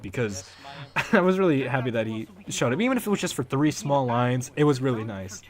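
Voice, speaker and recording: Man giving casual, rambling commentary. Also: medium pitch. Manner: rambling, halting, chuckling now and then. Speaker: fan dub creator giving behind-the-scenes commentary.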